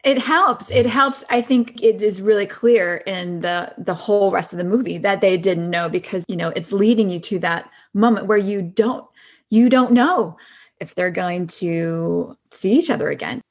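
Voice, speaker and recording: A woman's voice: medium pitch, moderate pace. A woman speaking as a podcast guest in casual chat, earnest and agreeable, repeating phrases for emphasis.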